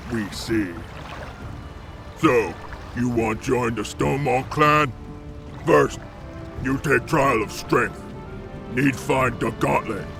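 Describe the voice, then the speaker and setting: A gravelly ogre chieftain for a game cutscene. He talks slowly in blunt, broken sentences, scornful and sure of his own might. Light music plays underneath. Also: medium pitch. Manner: broken grammar, blunt taunting. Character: brutish, arrogant ogre clan chief, scornful and dim-spoken. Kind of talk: video game cutscene acting.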